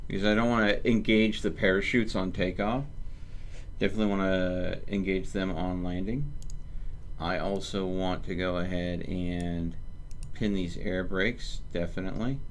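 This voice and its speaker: Low-voiced man thinking aloud over background noise. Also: steady tone, normal speed. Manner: narrates own steps in short fragments. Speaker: gaming streamer. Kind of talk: casual live game commentary, thinking aloud. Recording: clear background noise under the voice.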